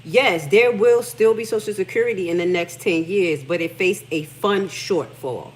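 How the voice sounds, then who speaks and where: A woman's voice: on the low side, moderate pace. Fired-up Haitian American woman host. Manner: fired-up and emphatic, swearing, reads text aloud then comments. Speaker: livestream host. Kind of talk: casual livestream commentary.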